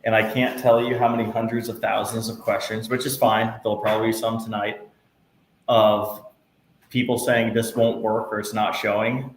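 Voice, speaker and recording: A man instructor walking a live class through software steps, patient and reassuring. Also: on the low side, vocal fry. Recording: echoey room, faint noise.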